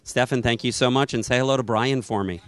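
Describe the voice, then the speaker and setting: A man's voice: mid-range voice, fairly even intonation, fast talker, fairly bright tone. A middle-aged man presenting and asking questions in an on-camera trade show interview. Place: trade show booth indoors. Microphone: handheld interview microphone.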